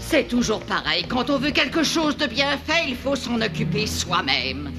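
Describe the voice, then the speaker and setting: A woman's voice: fairly high pitch, sing-song, moderate pace, music in the background. An actress playing a vain, selfish, wicked cartoon queen, imperious and theatrical. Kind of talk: cartoon dub acting.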